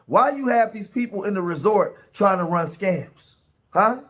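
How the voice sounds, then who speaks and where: A male host with a fairly high voice and a bouncing, animated delivery. He speaks in a loose commentary style and keeps turning to his listeners for agreement. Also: measured pace. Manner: animated, asks the audience "right?" often. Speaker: podcast host. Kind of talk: casual commentary on online clips.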